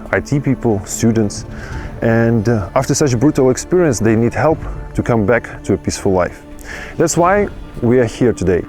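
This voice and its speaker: A man presenting a documentary in a calm, measured way. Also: mid-range voice. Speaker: host and presenter.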